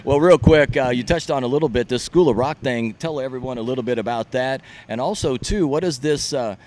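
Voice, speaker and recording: A man hosting an interview, laid-back and quick to laugh and agree. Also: mid-range voice, darkish tone. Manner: laid-back, laughs often, short affirmations. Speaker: interview host. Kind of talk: casual interview chat.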